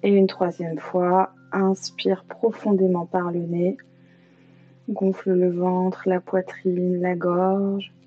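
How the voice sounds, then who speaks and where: A woman leading a guided yoga nidra meditation as an instructor, calm and soothing, leaving long pauses. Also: on the low side. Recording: slightly dull lo-fi sound, music behind. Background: music.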